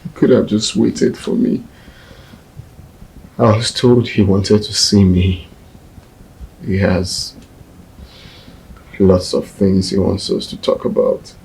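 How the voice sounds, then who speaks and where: A man playing a grieving man who speaks in a subdued, halting, quiet way. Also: sits low, fairly even intonation, poor audio. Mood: heartbroken. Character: heartbroken man mourning a lost relative. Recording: faint background noise.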